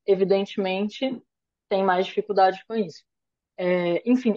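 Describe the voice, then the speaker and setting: A woman from Brazil teaches over a video call in a measured, explanatory way. She tags her points with little check-ins as she reasons aloud. Her mid-range voice comes through dull and lo-fi. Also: mid-range voice, darkish tone. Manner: thoughtful, explanatory, frequent "né?" tags. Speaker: course lecturer. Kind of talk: online lecture discussion.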